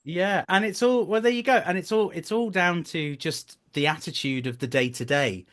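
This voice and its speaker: A man's voice: fairly high pitch, moderate pace, a bit bright. A man in his thirties talking casually as an interview guest, telling jokey, self-deprecating anecdotes as a director. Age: 30s.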